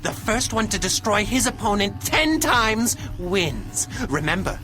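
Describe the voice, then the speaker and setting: An actor voicing an excitable robot gambling announcer in a video game, showy, as if presenting a bout. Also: male voice, high voice, unhurried, faint music behind, heavily acted. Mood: brisk. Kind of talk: video game cutscene acting.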